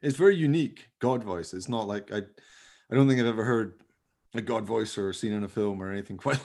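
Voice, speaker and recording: A man with a creaky voice chatting casually as a host about making radio drama. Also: on the low side, a little fast, a little mellow. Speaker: podcast host, radio play maker. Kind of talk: casual behind-the-scenes chat.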